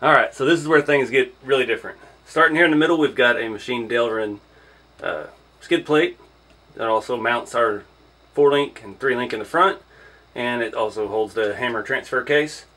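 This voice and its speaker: A man's voice: medium pitch, normal speed, pushed and bright. A man giving an informal hands-on first look as a hobby reviewer, thinking aloud as he goes. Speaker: hobby vlogger reviewing an RC truck.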